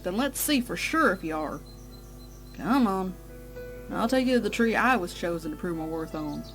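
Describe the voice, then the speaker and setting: A woman voicing a protective older sister pony, a farm mare, earnest and measured, in a dramatic audio story. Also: mid-range voice, animated, fairly bright tone. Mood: challenging. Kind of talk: dramatic fan fiction audio reading. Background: music bed.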